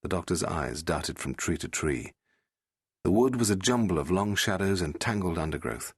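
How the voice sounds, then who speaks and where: A man's voice: low voice, husky, dry recording, studio quality. A man reading an audiobook in a dramatic, suspenseful storytelling style. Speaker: audiobook narrator. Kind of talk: dramatic scripted narration.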